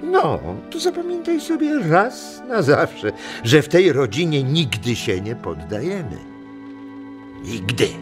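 An actor playing a warm, stubborn old grandfather in a children's audio drama, theatrical, full of sighs and exclamations. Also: a little high, huge pitch swings, slow pace, over music, full character voice. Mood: stern, rousing. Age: older.

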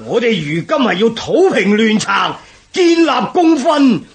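An actor playing a pompous, impatient army commander who scoffs, in a Cantonese historical radio drama with a Hong Kong accent. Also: male voice, sits high, big pitch swings, measured pace, heavily acted. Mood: scornful, imperious.

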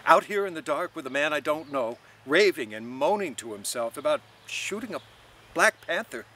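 A man's voice: a little high, expressive, moderate pace, bright, forceful tone, pitched above the performer's own voice. A man voicing an anxious, gloomy young man who speaks tensely. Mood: uneasy.

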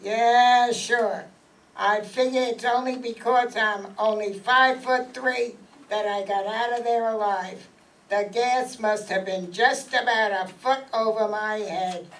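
A short, cornered gangster from a radio mystery, played by a woman, talks in slangy, wheedling phrases. The voice is fairly high and creaky, with a slow drawl, picked up at a distance in a room. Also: fairly even intonation. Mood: feigned sorrow, evasive. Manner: slangy, drawn-out tough-guy talk. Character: short male small-time gangster, five-foot-three, cornered and wheedling. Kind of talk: radio mystery acting before a live audience. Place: meeting room stage, camera among audience. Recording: distant mic in an audience room.

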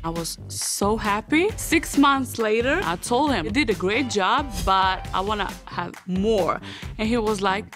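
A young woman from Serbia, a reality-show patient, boasting and laughing through a confessional interview. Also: medium pitch. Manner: boastful, laughs between lines. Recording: music behind the voice.

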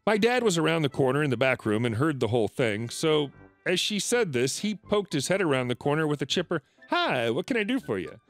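A man narrating reddit retail stories aloud, reading in a lively, animated way. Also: a little fast, faint music behind. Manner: lively, animated reading with shifting pitch for quoted customers. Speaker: story narrator.

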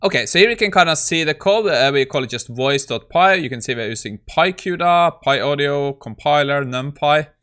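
A man in his thirties talks through code in a casual, conversational way. He sounds like a tech creator at his desk, speaking at a middling pitch into a close microphone. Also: expressive, normal speed, few pauses, fairly bright tone, smooth. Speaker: tech YouTuber. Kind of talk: casual screen-recorded coding walkthrough.

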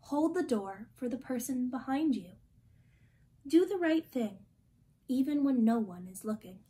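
High-voiced young woman reading slowly to children. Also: lively intonation, dark tone. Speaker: storytime reader. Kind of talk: reading a children's picture book aloud. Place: small indoor room.

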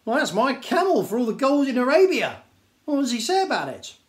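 A man's voice: very high voice, huge pitch swings, brisk, voice pushed up, full character voice. A man voicing a lofty, commanding desert djinn from a children's storybook, emphatic and theatrical in his scolding. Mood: brisk, surprised.

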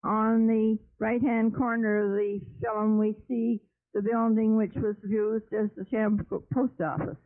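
A woman's voice: fairly high pitch, little pitch movement, a little slow. A woman giving unscripted descriptive commentary on old film, explaining what is shown, on a narrow, old radio-like recording.

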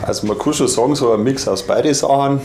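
A man's voice: mid-range voice, fairly quick, darkish tone, roomy sound, slight background noise. A young man with a Bavarian accent, chatting casually. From Bavaria, Germany. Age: young adult. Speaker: newspaper reporter as guest. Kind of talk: casual chat. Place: indoor green-screen studio.

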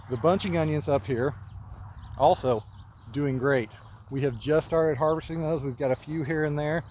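A man in his thirties walking a vegetable field outdoors and talking casually into a phone camera held at arm's length, offhand and relaxed as he points things out. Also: medium pitch, slight background noise. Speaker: market gardener giving a farm tour. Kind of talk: casual walking tour talk.

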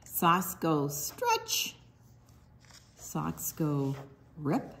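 Older woman reading slowly, very lively pitch. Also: vocal fry, slight background noise. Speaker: storytime presenter reading a picture book aloud. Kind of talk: expressive children's read-aloud with asides.

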